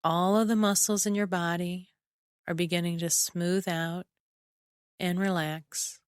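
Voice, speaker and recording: A woman narrating a scripted guided relaxation in a soothing, measured way, with short phrases and long pauses. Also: on the low side, level tone, smooth, no room sound, studio quality. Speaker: guided imagery meditation narrator.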